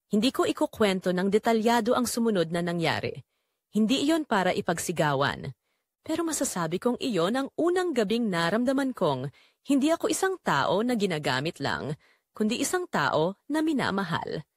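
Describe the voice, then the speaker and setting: A woman's voice: mid-range voice, sing-song, moderate pace, dry recording, studio quality. A Filipino woman narrating a scripted first-person audio drama in an emotive, confessional way.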